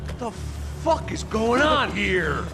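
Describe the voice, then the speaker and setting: An actor playing a furious, reckless American action hero in a game cutscene, confrontational and menacing. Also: male voice, high voice, sing-song, slow, full character voice. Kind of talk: video game cutscene acting. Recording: loud music over the dialogue.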